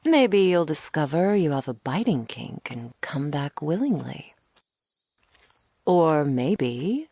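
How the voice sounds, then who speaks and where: A woman playing a teasing, menacing century-old female vampire in an intimate ASMR roleplay, sardonic and unhurried, sometimes slipping toward a whisper. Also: very deep voice, vocal fry, darkish tone, exaggerated voice. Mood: teasing menace.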